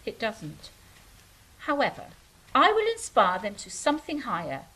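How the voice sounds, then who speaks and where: A woman playing an idealistic, earnest angel in an audio drama, speaking in a measured, philosophical way. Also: fairly high pitch, wildly swooping pitch, pushed and bright, exaggerated voice, poor audio. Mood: musing. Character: idealistic, earnest female angel, wistful and stately. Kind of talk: stage-style audio drama acting.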